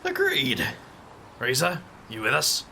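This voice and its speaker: A man's voice: medium pitch, huge pitch swings, slow pace, gravelly, sharp tone, slight background noise, exaggerated voice. A man voicing a laid-back, wry hero who also narrates and calls people mate. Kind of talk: audio drama acting with in-character narration.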